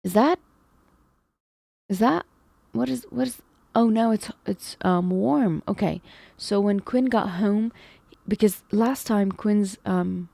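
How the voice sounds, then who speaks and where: A woman's voice: mid-range voice, takes it slowly, gravelly, long pauses, mellow, no echo. A woman live-streaming, thinking aloud over math problems, second-guessing herself and chatting with viewers. She feels her voice is going. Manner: talks to chat, muses and second-guesses herself.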